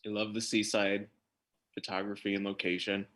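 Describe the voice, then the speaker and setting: A man's voice: lowish voice, completely flat delivery, moderate pace, a bit bright. A man on a panel giving casual, opinionated film commentary.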